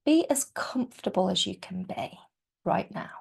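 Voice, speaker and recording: A woman guides a relaxation session as a yoga nidra teacher. Her mid-pitched voice has plenty of soft creak and often thins to a breathy near-whisper. She speaks soothingly and without hurry, leaving gentle pauses between phrases. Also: animated. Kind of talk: guided meditation.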